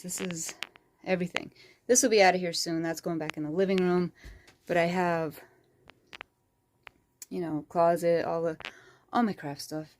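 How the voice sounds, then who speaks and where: A woman with a sore, hoarse throat, casually talking through a craft tutorial in a small bedroom craft corner, rambling with lots of fillers. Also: lowish voice, darkish tone, a little hiss or hum. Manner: rambling and chatty, with frequent fillers. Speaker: crafting channel host. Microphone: phone camera.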